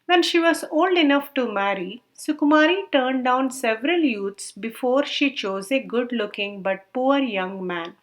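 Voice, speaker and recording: A woman storyteller reading scripted tales aloud in an expressive manner. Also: high voice, a little slow, hardly any pauses.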